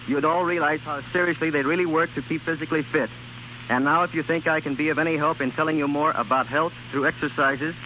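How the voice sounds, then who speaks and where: A man giving a scripted talk as a fitness trainer in a 1930s radio broadcast. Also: fairly high pitch. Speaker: celebrity fitness trainer, guest. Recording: muffled, noisy 1930s radio recording.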